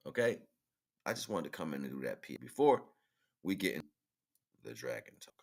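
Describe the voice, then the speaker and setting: A man with an extremely low voice hosts an online stream. He talks off the cuff in a loose, colloquial way, speaking straight to his listeners like an informal radio host. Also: big pitch swings, moderate pace, vocal fry. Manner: informal, colloquial, addresses listeners as brothers and sisters. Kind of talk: casual rambling livestream talk.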